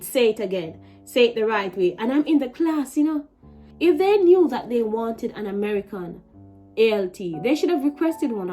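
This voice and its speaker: A young woman with a Jamaican accent telling a personal story in a vlog, animated and emphatic, with many restarts. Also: a little high. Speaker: vlogger.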